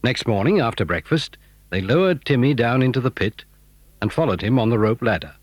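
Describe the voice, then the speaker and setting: A man's voice: mid-range voice, animated, fairly quick, no room sound. A man narrating a scripted children's adventure story.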